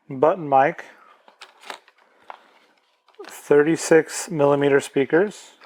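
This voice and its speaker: A man's voice: a little high, darkish tone, slight background noise. A man presenting an instructional product tutorial, explaining plainly step by step.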